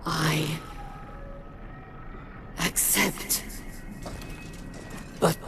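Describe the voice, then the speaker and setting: An actor voicing a solemn, grave alien leader in a video game, speaking deliberately with long pauses and a gravelly voice. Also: male voice, on the high side, steady tone, noisy background. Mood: solemn, reverent. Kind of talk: video game dramatic dialogue.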